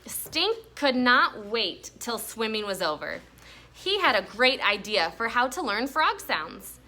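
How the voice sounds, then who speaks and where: A woman's voice: on the high side, big pitch swings, unhurried, bright, forceful tone. A woman teaching an elementary class, asking questions and reading a story aloud to pupils. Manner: asks questions, echoes pupils' answers. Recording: faint room noise.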